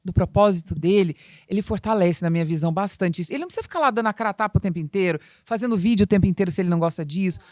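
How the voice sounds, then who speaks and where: A woman's voice: medium pitch, animated, very fast, few pauses, a little mellow, no room sound, studio quality. A woman hosting a business podcast, steering the talk and giving her opinion point by point. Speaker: podcast host. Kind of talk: casual business chat.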